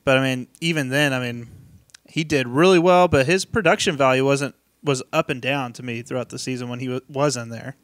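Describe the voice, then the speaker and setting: A man co-hosting a casual sports podcast with a mid-pitched voice. He speaks hesitantly and fills his sentences with fillers. The sound is dry and close to the mic. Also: a little fast, fairly bright tone, dry recording. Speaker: podcast co-host.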